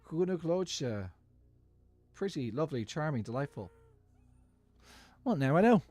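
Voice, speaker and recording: A man's voice: on the high side, big pitch swings, unhurried, warm tone. A man giving easygoing, musing commentary while he plays a game as a streamer. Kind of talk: casual gaming commentary.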